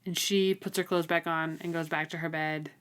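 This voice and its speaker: A woman's voice: low voice, little pitch movement, normal speed, smooth. A woman co-hosting a podcast, talking through a book in a casual, informal, conversational way. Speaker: podcast co-host.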